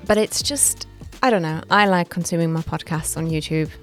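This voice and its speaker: A woman's voice: sits low, normal speed, soft background music. Woman co-hosting a casual podcast chat. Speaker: podcast co-host, executive producer.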